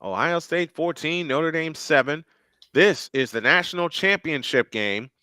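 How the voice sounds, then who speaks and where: A man's voice: on the high side, lively intonation, a little slow, pushed and bright, smooth, dry recording, studio quality. A man calling a live football game play by play, with his voice rising sharply on big plays. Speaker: play-by-play commentator. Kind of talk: live game commentary on a fan stream.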